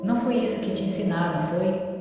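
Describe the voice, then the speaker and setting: A woman's voice: mid-range voice, brisk, heavy reverb, big-room sound, distant mic, background noise, voice pushed up, natural voice. Woman voicing a stern menacing male creature. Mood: scolding. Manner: cold, lecturing, threatening. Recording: music bed behind voice.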